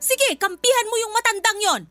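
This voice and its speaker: A woman's voice: extremely high pitch, brisk, pushed and bright. An actress playing a bossy, hot-tempered, spiteful woman of the household in a Filipino radio drama, scolding and haughty with a Filipino accent. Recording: background music under voice.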